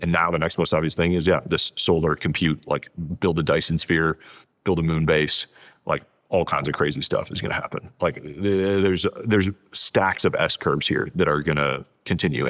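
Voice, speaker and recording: A man's voice: low voice, fairly bright tone. A man speaking as an author guest in an interview, explaining ideas in a casual, conversational way.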